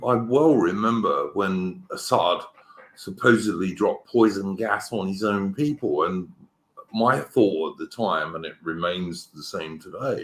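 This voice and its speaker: Deep-voiced guy ranting casually on a podcast, trips over his words, echoey room. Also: fairly bright tone. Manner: opinionated, rambling with many restarts. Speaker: podcast co-host. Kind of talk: casual political chat.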